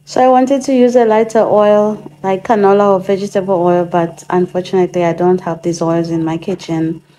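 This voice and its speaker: A woman hosting her own DIY channel, chatting through a cooking demonstration in her home kitchen, her voice a little hoarse from a cold and full of casual ums as she thinks aloud. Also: on the low side, flat delivery, a little fast, warm tone, slight background noise, poor audio.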